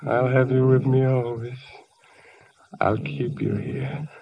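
An actor playing a nervous, guilt-ridden husband hiding a terrible secret in a mid-century radio suspense drama, halting and repeating his words. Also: mid-range voice, little pitch movement, creaky, slight background noise. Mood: tender, desperate. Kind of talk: 1940s-50s old-time radio suspense acting. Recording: dull old radio broadcast recording.

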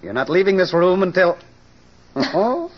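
A man playing a cocky, wisecracking Broadway gossip columnist in husky, flip 1940s radio style. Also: sits high, big pitch swings, exaggerated voice. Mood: mocking. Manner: flip, fast-talking wise guy. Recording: dull old radio recording.

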